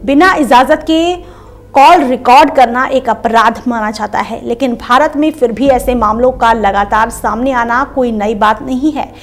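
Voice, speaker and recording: A woman reading a scripted news explainer as narrator, in a formal, instructive presenter's delivery. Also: sits high.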